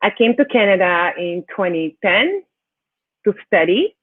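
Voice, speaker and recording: A woman from Cameroon speaks as an interview guest in a low voice. The sound is thin and narrow like a call, with very little room in it. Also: sing-song, measured pace, no echo. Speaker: interview guest, financial services professional. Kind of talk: conversational interview answers.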